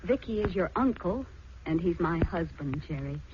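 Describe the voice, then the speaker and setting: An actress playing a troubled, gentle married woman in a 1940s radio drama, earnest and pleading. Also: fairly low pitch, animated, moderate pace, dull audio, natural voice. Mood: pleading, restrained. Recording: noisy, band-limited 1940s radio recording.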